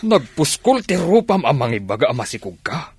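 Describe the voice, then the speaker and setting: A man's voice: a little high, big pitch swings, normal speed, breathy edge, no echo, heavily acted. A man with an Ilocano accent playing an angry, domineering man in a radio drama, scornful and accusing. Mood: furious. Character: angry, domineering man evicting a young woman.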